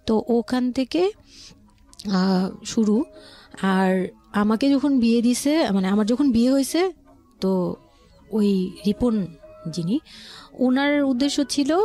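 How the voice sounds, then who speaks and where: A woman with a Dhaka Bangladeshi accent telling her life story as a guest, haltingly, often rephrasing as she explains. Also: mid-range voice, dark tone. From Old Dhaka, Bangladesh. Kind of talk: personal storytelling in conversation. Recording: music playing behind the voice.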